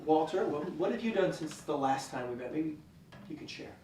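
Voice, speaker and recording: Male actor as group therapist: placating, over-encouraging, hesitant, highish voice, distant mic, comedic sketch. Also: animated, moderate pace, darkish tone, lightly acted. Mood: coaxing. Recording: echoey room, distant mic, faint noise.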